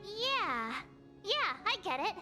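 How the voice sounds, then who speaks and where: A woman voicing a perky, expressive teenage girl adventurer in a video game, bubbly and exclamatory. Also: very high-pitched, slow, exaggerated voice. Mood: uncertain. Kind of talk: animated game voice acting. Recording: loud game music behind.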